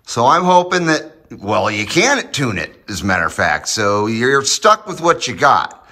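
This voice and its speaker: Casual American male vlogger, folksy and informal, with very varied intonation and a bright edge. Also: medium pitch, big pitch swings, a little slow. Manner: folksy, lightly swearing, informal. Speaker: host of a hobby radio channel. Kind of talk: casual project vlog narration. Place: home garage, indoors.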